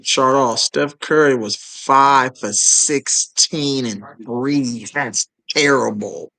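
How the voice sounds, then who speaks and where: Casting: man, slow and blunt, bright tense tone, dry sound. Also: medium pitch, gravelly. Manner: blunt, short remarks. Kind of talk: casual chat.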